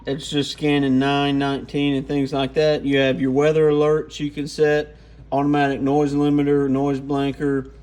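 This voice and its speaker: A man's voice: mid-range voice, monotone, moderate pace, a little mellow. A man giving an informal, off-the-cuff hands-on product walkthrough. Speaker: radio gear reviewer.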